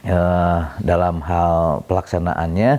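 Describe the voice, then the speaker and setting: A middle-aged man from Bandung, a city council member, explaining his work in a relaxed interview, with many fillers and self-corrections. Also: low-pitched, slow pace. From Bandung, Indonesia. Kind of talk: conversational interview.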